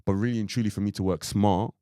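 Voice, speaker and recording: A young man from Ireland with a very low, deep voice, chatting loosely on a podcast. He rambles, leaning on fillers like "like" and "you know what I mean". The sound is close and dry, with no room around it. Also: steady tone, fast, warm tone, clean tone, dry recording. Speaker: podcast co-host. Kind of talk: casual podcast chat.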